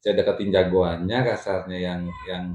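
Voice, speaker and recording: A middle-aged man with a fairly low voice speaks as an interview guest. He tells personal stories in a loose, colloquial way, circling back over his phrases. The room around him is noticeably echoey. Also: little pitch movement, normal speed, a little mellow, dull audio. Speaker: interview guest telling life story. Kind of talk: casual chat, personal storytelling.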